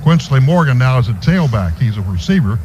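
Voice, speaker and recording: A man's voice: mid-range voice, very animated, a little fast, dull audio. American man calling football, noisy broadcast. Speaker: radio play-by-play announcer. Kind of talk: live American football radio commentary. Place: stadium radio booth. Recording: noisy stadium radio broadcast recording. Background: clear background noise.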